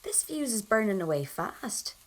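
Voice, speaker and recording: A young Irish rebel from 1920s Dublin in an audio drama. She narrates in the first person with wry, colloquial defiance and a dramatic storyteller's delivery, in a medium-pitched female voice. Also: very animated, moderate pace. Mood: breathless, anxious.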